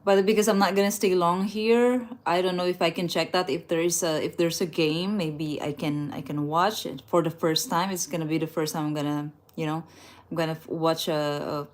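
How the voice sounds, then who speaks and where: A woman talks casually and laughs often, stumbling and restarting her sentences as she goes. Her voice sits a bit on the low side. She sounds like she is chatting live from a rented room at night. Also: steady tone, moderate pace. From Philippines. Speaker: travel livestream host. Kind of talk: casual live chat, reading viewer comments aloud.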